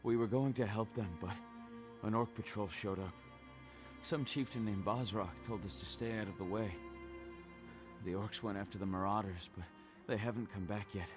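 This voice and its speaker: A man's voice: fairly low pitch, normal speed, over music, natural voice. A man playing a worried, earnest caravan guard in a fantasy video game, speaking plainly. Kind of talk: video game dialogue acting.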